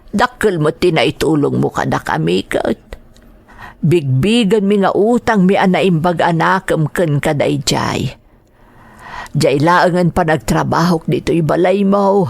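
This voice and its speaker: A woman playing a kindly, forgiving middle-aged mother in an Ilocano radio drama, with a creaky voice and a Filipino accent. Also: deep voice, dry recording, heavily acted. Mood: grateful, soothing. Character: kindly, grateful mother, an older sister figure, forgiving and earnest.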